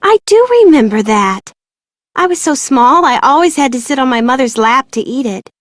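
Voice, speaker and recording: A woman's voice: high-pitched, lively intonation, moderate pace, no room sound. An actress playing a glum, guarded young woman in a video game, plain-spoken and self-deprecating. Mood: shaken, remorseful. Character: withdrawn, glum young woman haunted by childhood loss.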